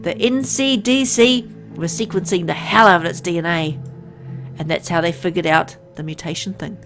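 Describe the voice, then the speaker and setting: A woman's voice: lowish voice, normal speed, fairly bright tone, soft background music. A woman voicing a frank, secretive medical insider in a visual novel, explaining in an earnest, conspiratorial way. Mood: grave, explanatory.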